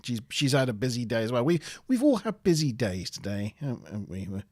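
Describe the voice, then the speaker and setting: Guy hosting a chill review stream, deep boomy voice, bouncy jokey delivery, dry close mic sound. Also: sing-song, fairly quick, a little mellow, no room sound. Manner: jokey, laughs often, playful announcer voice for the intro. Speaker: podcast host. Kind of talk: casual livestream chat. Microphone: good desk mic.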